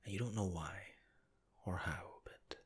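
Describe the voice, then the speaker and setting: A man whispering a soothing ASMR guided relaxation, talking the listener gently through an imagined scene. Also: low voice, unhurried, husky. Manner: soft, soothing, second-person guiding.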